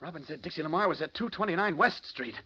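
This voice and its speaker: An actor playing an earnest, excitable male reporter in urgent, exclamatory old radio style. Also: on the high side, quick pace, highs cut off, lightly acted. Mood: startled, urgent. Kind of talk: 1940s radio acting. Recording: noisy old radio, crowd behind.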